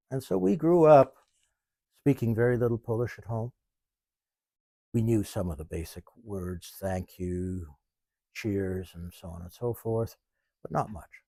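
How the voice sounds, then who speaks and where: A man in his seventies, talking in a measured, thoughtful way and pausing often mid-sentence, in an interview. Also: lowish voice, dark tone, no echo. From Canada. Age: 70s. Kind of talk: reflective interview. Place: small museum.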